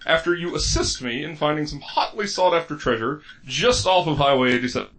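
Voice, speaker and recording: A man's voice: fairly high pitch, fairly quick, a bit bright, lightly acted. A man playing an eccentric, vain and greedy mayor in a comedic audio drama, pompous, wordy and theatrical. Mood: scheming, confident.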